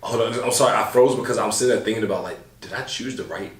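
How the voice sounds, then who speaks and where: A deep-voiced man chats in a loose, slangy podcast style, full of fillers and asides. He sits a little back from the mic in a roomy-sounding space. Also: quick pace. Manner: laid-back, slangy, rambling with many fillers. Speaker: podcast co-host. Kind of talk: casual chat. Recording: roomy, a bit far from mic.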